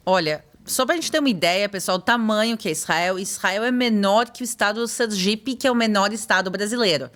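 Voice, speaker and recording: A woman's voice: mid-range voice, fairly quick, few pauses, a bit bright. A young woman, explaining things at length as a podcast guest. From Brazil. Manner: explanatory, uses "tu" and "pessoal". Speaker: podcast guest, tour guide. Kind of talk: casual podcast chat.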